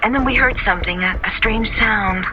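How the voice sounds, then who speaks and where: Young American female student played by an actress, nervous and halting, 1970s radio drama, band-limited recording with music underneath. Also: medium pitch, muffled recording, natural voice. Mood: hushed fear.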